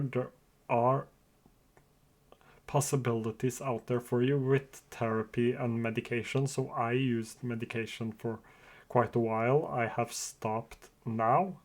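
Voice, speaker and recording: Slow, earnest man with a Norwegian accent. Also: mid-range voice, darkish tone. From Norway. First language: Norwegian and Farsi. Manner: earnest, open and slightly hesitant. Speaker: podcast host. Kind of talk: casual solo podcast monologue.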